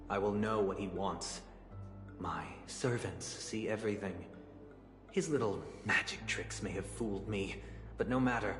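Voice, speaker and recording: An actor playing a cold, vengeful aristocrat in a video game drama, imperious and deliberate, sometimes dropping into a whisper. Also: male voice, on the low side. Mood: cold menace. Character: cold, vengeful aristocratic man, commanding family master. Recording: very echoey hall, music underneath. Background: music.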